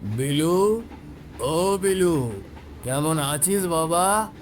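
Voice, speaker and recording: A man's voice: a little high, animated, very slow, a little hiss or hum, pitched above the performer's own voice. Man voicing a grave, cold old grandfather. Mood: eerily affectionate. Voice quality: heavy, cold voice. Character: grave, reproachful old grandfather with an eerie chill.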